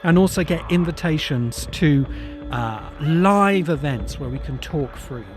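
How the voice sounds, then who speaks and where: A man speaking as a podcast host in an explanatory lecture style, with measured, deliberate phrasing. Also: fairly high pitch, lively intonation, over music. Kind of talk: explanatory lecture to camera or audience.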